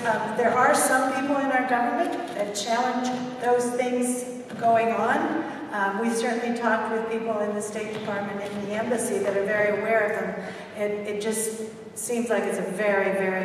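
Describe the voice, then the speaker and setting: A woman's voice: medium pitch, flat delivery, normal speed. Older American woman, very echoey hall. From United States. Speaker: guest speaker. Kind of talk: answering audience questions at a public talk. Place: large echoing indoor hall. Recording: echoey hall, distant mic, noisy.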